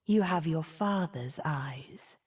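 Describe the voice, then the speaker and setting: Casting: a regal, sinister video game sorceress; sly and menacing, husky-breathy, dark low register. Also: female voice, expressive, full character voice. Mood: ominous, silky. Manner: slow, theatrical delivery. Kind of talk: video game character voice lines.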